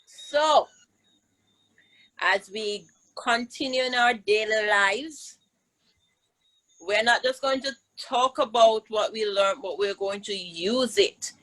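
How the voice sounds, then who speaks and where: A Jamaican woman leads a live lesson for children with slow, deliberate pacing. Her voice sits fairly high, and she is encouraging and emphatic, prompting young listeners as she goes. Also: fairly bright tone, rough recording. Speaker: host of children's virtual storytime, teacher.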